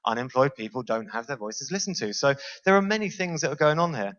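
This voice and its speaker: A man's voice: mid-range voice, lively intonation, fast talker, fairly bright tone, muffled recording. A British man speaking from a stage in a large lecture hall, giving an easygoing, self-aware talk and putting questions to the audience. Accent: British English. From Britain. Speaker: conference speaker. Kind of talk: conference talk to a live audience.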